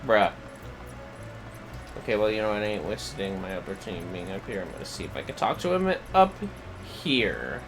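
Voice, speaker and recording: A man's voice: on the low side, big pitch swings, brisk, long pauses, music in the background. A man giving casual, slangy commentary to himself while livestreaming a video game. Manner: informal, slangy. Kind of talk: casual gaming commentary, muttering to self.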